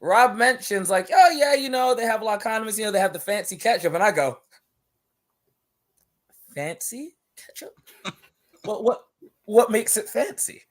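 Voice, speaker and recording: A man's voice: high-pitched, normal speed, pauses often. A man from Barbados, a wrestler guest on a podcast, talking casually and telling stories with lots of laughter and repeated words. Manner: animated storytelling, laughing often, repeats words.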